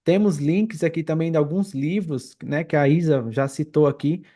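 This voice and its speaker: A man's voice: a little high, normal speed, warm tone. A Brazilian man speaking casually as the co-host of a podcast.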